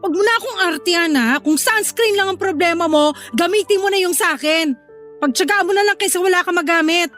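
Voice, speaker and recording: A woman's voice: extremely high pitch, fairly quick, fairly bright tone. A woman playing a strict, irritable, scolding older sister in a Filipino audio drama, with a Filipino accent. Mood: scolding, bossy. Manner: scolding, sarcastic. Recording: music behind the voice. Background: music.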